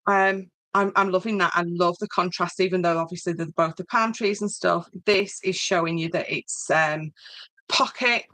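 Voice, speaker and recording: A woman's voice: on the low side, fairly even intonation, moderate pace, pushed and bright, no echo. A woman with a Yorkshire accent hosting an informal craft demonstration, chatting to viewers and thinking aloud as she works. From Yorkshire, northern England. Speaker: craft tutorial host.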